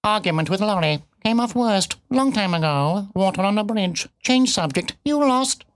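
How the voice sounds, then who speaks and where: A woman's voice: mid-range voice, animated, moderate pace, no echo, voice pushed up, close to an everyday voice. A woman voicing a battered, friendly ginger tomcat in a children's story, biting off short phrases and spitting them from the side of the mouth. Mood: terse.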